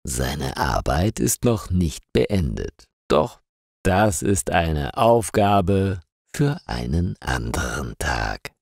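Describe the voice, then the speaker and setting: A man narrating a scripted story for language learners, reading slowly and carefully articulated with lively intonation. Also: deep voice, vocal fry, no echo, studio quality.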